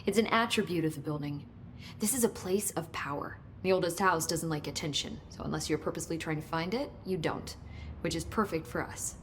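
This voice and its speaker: A woman's voice: deep voice, fairly quick, slight background noise, lightly acted. A woman as a chatty, nerdy researcher. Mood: helpful. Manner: chatty, explanatory, nerdy enthusiasm. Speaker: research scientist guiding the hero.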